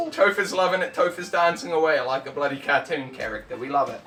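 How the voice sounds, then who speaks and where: A loud man hosting a casual vlog, boisterous and teasing as he banters to camera. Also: a little high, a little fast, faint music behind. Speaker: host. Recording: distant mic, faint background noise.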